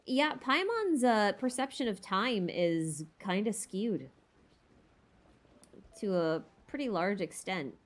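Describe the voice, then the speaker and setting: A woman's voice: mid-range voice, big pitch swings, takes it slowly. A woman streamer chatting casually and thinking aloud in a tentative, rambling way as she answers questions. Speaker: streamer hosting a lore Q&A. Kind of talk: casual rambling livestream chat about game lore.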